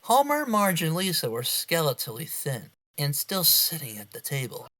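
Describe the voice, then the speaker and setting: A woman's voice: low-pitched, very animated, moderate pace, fairly bright tone. A woman reading a scripted horror story aloud as its narrator.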